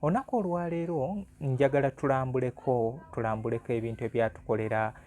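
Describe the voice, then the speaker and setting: A man's voice: mid-range voice, fairly even intonation, quick pace, darkish tone. A Ugandan man hosting an informal music vlog, talking conversationally and repeating phrases for emphasis. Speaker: music analyst and channel host.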